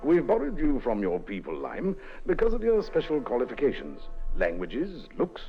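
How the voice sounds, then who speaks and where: Jaunty, swooping posh English spymaster. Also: male voice, medium pitch, wildly swooping pitch, normal speed, faint music behind. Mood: brisk, wry. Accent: upper-class English. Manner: clipped, chatty, donnish, full of tag questions. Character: jaunty, eccentric upper-class British spymaster captain. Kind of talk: 1950s radio drama acting. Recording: dull vintage radio recording.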